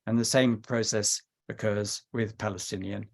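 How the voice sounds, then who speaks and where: A man who wrote a book on the subject, delivering prepared closing remarks to a panel in a measured, argumentative way. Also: lowish voice, fairly even intonation, creaky. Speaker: panel speaker, author.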